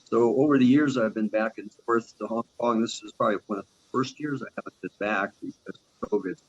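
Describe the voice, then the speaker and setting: A man in his sixties, a tax partner, giving a halting, filler-heavy talk over a video call. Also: fairly low pitch, slightly rough. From Argentina, raised in Canada. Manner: halting, many fillers and restarts. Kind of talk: informal presentation on a video call. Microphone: video call microphone. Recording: dull lo-fi call audio.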